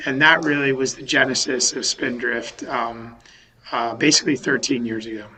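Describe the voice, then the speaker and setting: A man from Western Massachusetts, a company founder, talking over a video call in a thoughtful, halting way with many restarts. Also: medium pitch, steady tone, pushed and bright, rough recording. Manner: thoughtful, restarts sentences, many um and you know. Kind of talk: remote podcast interview. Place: home or office in a city.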